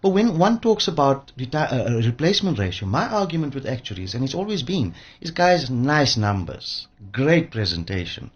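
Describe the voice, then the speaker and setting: A man speaks as an expert guest in a radio interview. His voice is husky and swings widely in pitch as he makes emphatic, repeated points. The sound is somewhat dull and lo-fi. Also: big pitch swings, moderate pace, few pauses. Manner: emphatic, repeats phrases for effect.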